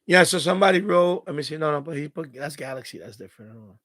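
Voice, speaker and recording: A man's voice: a little high, quick pace, a bit bright. A man hosting a live stream in casual, rambling, unfiltered chat with his viewers. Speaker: livestream host.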